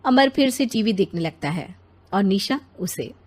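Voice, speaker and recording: A woman narrating an animated moral story in a scripted storytelling style. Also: mid-range voice, measured pace.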